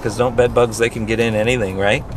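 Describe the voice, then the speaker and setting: A man's voice: medium pitch, fairly even intonation, quick pace, background noise. Chatty middle-aged man vlogging from a car. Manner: chatty, teasing, laughs often. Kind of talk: casual road trip vlog. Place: inside a car, partly outdoors by a highway.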